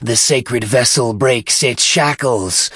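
Man acting a slow, wailing old alien zealot. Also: medium pitch, a bit bright, slightly rough, no echo. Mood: rapt fervor. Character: elderly male alien philologist, devout, wailing, fervent. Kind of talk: audiobook character voice.

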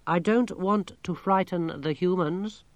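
Man voicing a precise, high-pitched thinking machine. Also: a little slow, dry recording. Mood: calm, busy. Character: unflappable, matter-of-fact alien thinking machine.

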